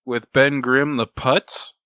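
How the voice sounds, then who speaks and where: A man's voice: medium pitch, little pitch movement, slow, sharp tone, clear, resonant tone, dry recording, studio quality. A man co-hosting a podcast, talking in a loose, casual way. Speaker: podcast co-host. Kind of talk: casual chat reviewing old radio shows.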